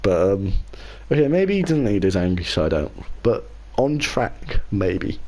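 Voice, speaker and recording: A man co-hosting a casual racing podcast, talking in a measured way and softening his opinions as he goes. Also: low-pitched, big pitch swings. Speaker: podcast co-host and league racer.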